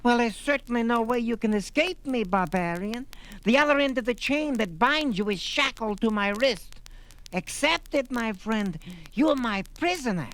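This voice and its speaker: An actor playing a small, shriveled, scheming desert slave trader in a 1970s audio drama, with an oily, theatrical manner. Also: male voice, very high-pitched, animated, normal speed, fairly bright tone, heavily acted, low-quality recording. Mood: smug, oily.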